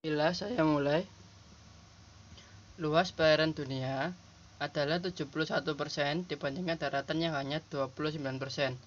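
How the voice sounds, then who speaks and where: A young man giving a halting, polite class presentation over slides, his voice hoarse from a cold, recorded while minding a small shop. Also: fairly high pitch, steady tone, a little hiss or hum. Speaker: student presenter. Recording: lo-fi home recording.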